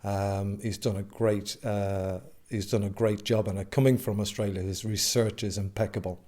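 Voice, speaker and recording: A man from Dublin speaks about his acting work in a fairly low voice. He talks in a halting way, full of small filler sounds. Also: steady tone, a little mellow. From Dublin, Ireland. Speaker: actor being interviewed. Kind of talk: casual interview.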